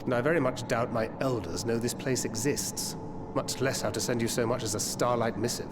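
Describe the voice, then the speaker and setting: Polite, mid-pitched young adventurer by a male actor. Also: fairly quick, heavy background noise, natural voice. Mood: courteous, assured. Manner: courteous, formal, articulate. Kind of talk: scripted video game dialogue.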